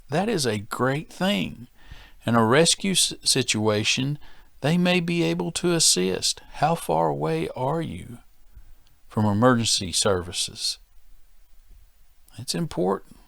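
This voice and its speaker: American man, medium pitch, safety trainer, instructional delivery, emphatic repetition of key phrases, dry recording. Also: lively intonation, takes it slowly, creaky, fairly bright tone, no room sound.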